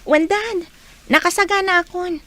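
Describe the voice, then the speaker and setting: A woman's voice: extremely high pitch, moderate pace, pushed and bright. A woman playing a sweet, playful young grown daughter in a radio drama.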